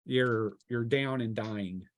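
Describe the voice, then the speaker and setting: A man's voice: medium pitch, takes it slowly. A man acting as game master, refereeing a tabletop game and narrating the action in a casual, improvised way with vivid touches.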